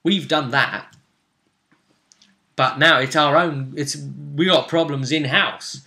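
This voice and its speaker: A man recapping a tabletop role-playing session as game master in casual, halting storytelling, often repeating phrases as he goes. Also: a little high, pushed and bright.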